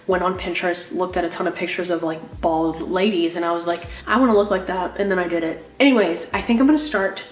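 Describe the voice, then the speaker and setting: A woman's voice: on the low side, steady tone, fast, few pauses, roomy sound. A young woman vlogging in a casual, rambling way, full of "like" fillers, sounding nasal from a cold. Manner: rambling, lots of "like" fillers, apologetic. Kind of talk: casual chatty vlog.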